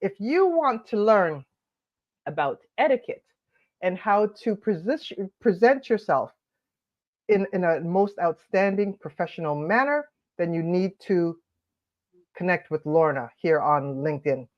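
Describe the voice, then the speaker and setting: A woman's voice: lowish voice, lively intonation, measured pace, highs cut off. A woman hosting a live stream, giving upbeat coaching tips and greeting viewers by name, her voice nasal and stuffed up from allergies. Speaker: host of a daily live stream on confidence.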